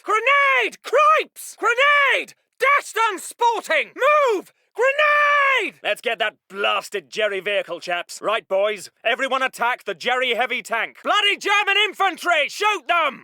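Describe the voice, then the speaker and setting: High-pitched posh English army medic. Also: male voice, bright, forceful tone, dry recording, clean studio sound, heavily acted. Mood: calm, resolute. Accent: upper-class English. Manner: plummy, polite, old-fashioned clipped delivery. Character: polite, posh, easily flustered British WWII army medic, young man. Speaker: voice actor. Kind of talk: video game unit voicelines.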